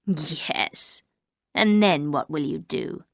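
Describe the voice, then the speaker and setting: A woman voicing a bitter, menacing older housekeeper who speaks slowly and coldly, often dropping to a whisper. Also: creaky, dry recording, clean studio sound, heavily acted. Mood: cold menace. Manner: slow, low, cold, often whispered.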